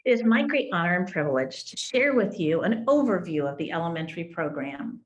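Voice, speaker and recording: A woman's voice: fairly low pitch, big pitch swings, brisk, muffled recording. A woman educator giving an explanatory presentation on a school program.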